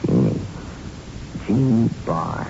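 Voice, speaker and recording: An actor playing a cocky, charming young prizefighter in a 1970s radio drama, with breezy, slangy, smooth talk. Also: male voice, deep voice, big pitch swings, takes it slowly, warm tone, full character voice. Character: cocky, charming young middleweight boxer and ladies' man. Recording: muffled, faintly noisy old radio broadcast.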